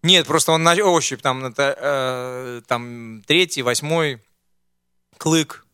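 A man's voice: mid-range voice, takes it slowly, bright, forceful tone, smooth, no room sound, studio quality. A man hosting a casual football chat, rambling and teasing, with many hesitations. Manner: rambling, teasing, full of fillers. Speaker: host.

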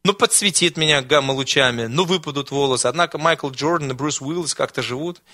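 A man's voice: a little high, a little fast, sharp tone. A man voicing a smug, streetwise young immigrant student who brags about his plans. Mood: boastful. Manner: self-satisfied, streetwise.

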